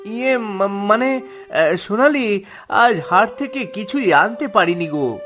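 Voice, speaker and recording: A man's voice: high-pitched, animated, unhurried, sharp tone, faint music behind, exaggerated voice. A man voicing a poor, honest village fisherman in a cartoon, pleading in an earnest, plaintive way. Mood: nervous, pleading. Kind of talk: cartoon story acting.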